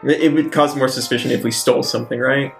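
A man with a gravelly, creaky edge and mid-range pitch plays a tabletop roleplaying game. He talks casually and playfully, with faint music behind him. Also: steady tone, quick pace.

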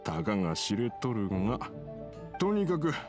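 A man voicing a gruff, grumbling old master swordsmith in an anime game, curt and scolding with old-fashioned speech. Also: on the low side, big pitch swings, unhurried, music in the background.

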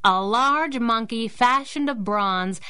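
An actress playing a tough, young, wisecracking superhero sidekick, sassy and slangy, in a 1930s-style radio drama. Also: female voice, a little high, slow pace, bright, forceful tone, clean tone. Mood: wry.

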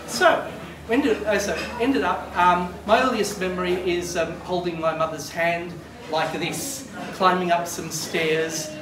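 A man's voice: sits high, unhurried. A man in his fifties from Argentina, whose was Spanish, telling a rambling story at a memorial. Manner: rambling, animated, gestures broadly with both hands. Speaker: eulogist. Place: glass-walled room by a beach. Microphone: far camera. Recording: roomy and distant with noise.

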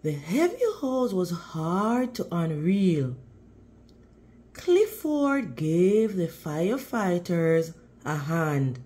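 A woman reading a picture book aloud to children in a teacherly way, pausing to prompt them with questions. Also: on the low side, very animated, warm tone. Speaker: read-aloud host.

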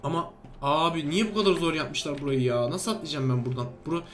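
A man's voice: fairly high pitch, fast talker, a bit bright, faint music behind. A man hosting a gaming video, giving exclamatory, bantering commentary to a co-player and often repeating instructions. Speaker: gaming video host playing a character named Steve. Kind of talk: excited game commentary with a co-player.

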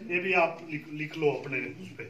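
A man's voice: a little high, normal speed, crowd noise. A man explaining beekeeping patiently to a group of farmers, often checking that they follow. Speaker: beekeeping trainer. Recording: echoey room, far from the mic.

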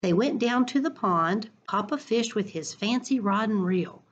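A middle-aged woman reading a story aloud to children in a deliberate, expressive way, recorded on a computer at home. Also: medium pitch, dull audio. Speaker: school educator reading to children. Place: living room at home. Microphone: computer webcam at arm's length.

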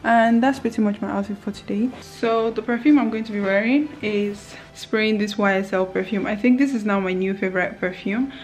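A woman's voice: medium pitch, a little fast, hardly any pauses, soft background music. A young woman in her twenties chatting casually to camera in a vlog, often laughing and talking straight to her viewers. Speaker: vlogger. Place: apartment indoors, later outdoors in a city. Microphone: handheld camera at arm's length.

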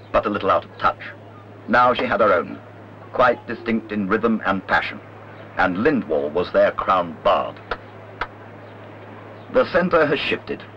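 A man reading a literary documentary narration in a measured, wry way. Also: on the low side. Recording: dull lo-fi old film soundtrack, clear noise.

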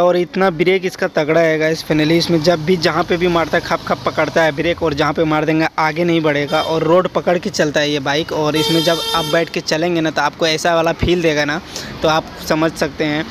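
Chatty young man vlogging on a motorbike. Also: on the high side. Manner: rambling, chatty, addresses viewers as guys. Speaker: moto vlogger. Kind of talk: casual motovlog commentary while riding. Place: outdoors on a motorcycle on rural roads. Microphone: helmet-mounted action camera. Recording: wind and road noise from riding.

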